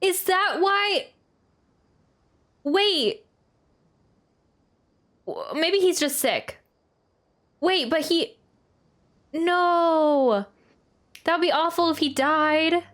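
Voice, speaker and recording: A woman's voice: extremely high pitch, drawn-out words, long pauses, fairly bright tone. A woman streaming a game with casual reaction commentary, thinking aloud and repeating phrases, game music behind her.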